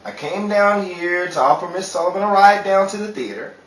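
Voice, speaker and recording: A man's voice: high-pitched, brisk, a bit bright. A man playing a bumbling, self-important stage magician in a theatrical old time radio comedy style. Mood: boastful, defensive. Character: bumbling, boastful male stage magician and show announcer. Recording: roomy distant mic, noisy crowd behind.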